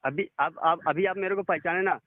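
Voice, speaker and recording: A man's voice: high voice, brisk, no echo. A male caller making accusations on the phone, insistent and repeating himself. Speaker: caller confronting a singer. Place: phone call. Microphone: telephone. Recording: noisy narrow phone line with clicks.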